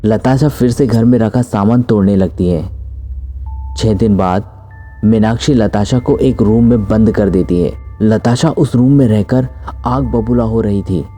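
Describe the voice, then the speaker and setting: A man's voice: on the low side, measured pace, mellow, faint music behind. A man narrating a scripted horror story.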